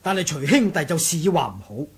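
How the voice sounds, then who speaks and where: A man's voice: fairly high pitch, sing-song, a little fast, slightly rough, exaggerated voice. A man with a Hong Kong Cantonese accent playing a deferential rebel soldier reporting formally to his leader in a radio drama. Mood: grave, reporting. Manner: formal, storytelling, respectful. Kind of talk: 1980s radio drama acting.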